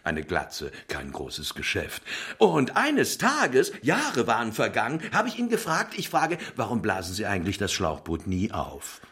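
Quirky barber for children's audio drama: rambling, theatrical, highly animated intonation. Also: male voice, unhurried, full character voice. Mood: reminiscing. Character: chatty, quirky male barber and salesman of odds and ends. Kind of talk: children's radio play acting.

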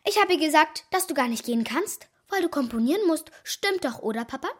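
Helles, trotziges junges Mädchen. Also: female voice, high-pitched, lively intonation, a little fast. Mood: feigned innocence. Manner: insistent, contrary. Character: stubborn, cheeky young girl, jealous daughter. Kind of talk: children's radio play acting.

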